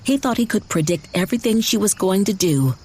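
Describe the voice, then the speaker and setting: A woman reading dramatized fiction narration in a measured way with deliberate emphasis. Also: mid-range voice, animated, dark tone, husky. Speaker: audiobook narrator.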